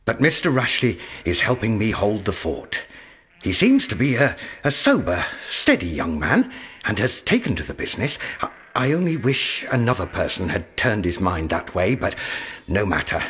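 An actor playing a kindly, fussy old clerk in a radio drama, formal and faltering, with a husky voice. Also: male voice, on the low side, sing-song, moderate pace, fairly bright tone, heavily acted. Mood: anxious, affectionate. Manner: formal, faltering, letter-writing delivery.

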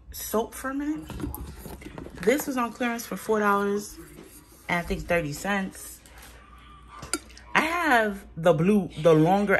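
A woman's voice: medium pitch, slight background noise. A woman in her thirties chatting casually as she shows off her groceries at home, candid and unfiltered. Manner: chatty, candid, talks as she handles items off camera. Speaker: vlogger showing a grocery haul. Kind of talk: casual chat. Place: home, indoors. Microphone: phone camera held by the speaker.

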